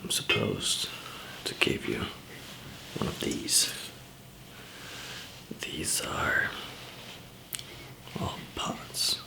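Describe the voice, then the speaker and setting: A man softly whispering and murmuring in an ASMR roleplay, explaining things gently and haltingly as a survivor. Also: low-quality recording. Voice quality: soft whispering mixed with low murmur, gravelly. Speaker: ASMR roleplayer playing a survivor camp member handing out supplies.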